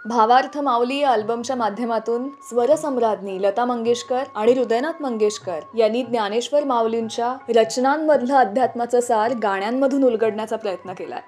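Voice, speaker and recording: A woman's voice: a little high, moderate pace, few pauses, a little mellow. A woman hosting a radio music show, reading a scripted narration in a literary, declamatory way.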